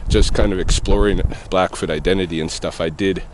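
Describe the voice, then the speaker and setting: A man with a fairly low voice talks through his thoughts as he walks outdoors in the evening. His delivery is loose and meandering, full of pauses and small chuckles. Faint street traffic hums in the background. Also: fairly even intonation. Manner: rambling, thinking aloud with many pauses and soft chuckles. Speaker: vlogger answering a viewer question. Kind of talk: casual walking vlog.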